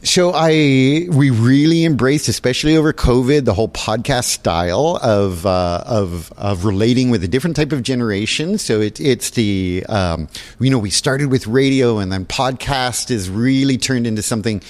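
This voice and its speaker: A man's voice: mid-range voice, normal speed, darkish tone. A man explaining things earnestly and enthusiastically in a conversational interview. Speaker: theater technical director and stagecraft instructor. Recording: reverberant hall-like room.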